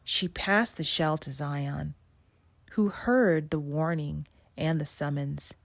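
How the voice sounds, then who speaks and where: Slow, low-pitched woman reading a storybook. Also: creaky, dry recording. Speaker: storybook reader. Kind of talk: scripted read-aloud narration.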